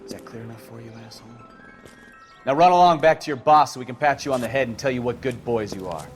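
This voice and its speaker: Man playing brash male police detective, medium pitch, blunt, sarcastic, bullying, game drama, faint music behind. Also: quick pace, lightly acted. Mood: contemptuous, threatening. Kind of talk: dramatic video game acting.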